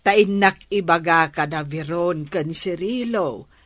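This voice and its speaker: An actress playing a patient, worried middle-aged mother in a radio drama, coaxing in a measured way. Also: on the low side, no echo. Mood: gently reproachful. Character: patient, worried mother coaxing her troubled grown daughter.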